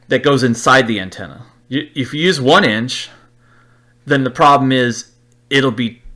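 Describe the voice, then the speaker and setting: A man's voice: medium pitch, a bit bright. A man giving an informal slide-show review off the cuff, blunt and plain-spoken, rambling and repeating words as he thinks aloud. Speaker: presenter of an antenna review.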